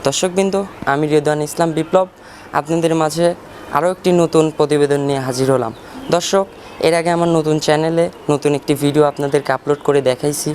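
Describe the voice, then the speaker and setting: A man's voice: on the high side, steady tone, fast talker, darkish tone. A man with a Bangladeshi accent hosting an informal farm video, often repeating himself as he addresses his viewers. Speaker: pigeon keeper and farm channel host. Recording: noisy outdoor ambience with birdsong. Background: birds and ambient noise.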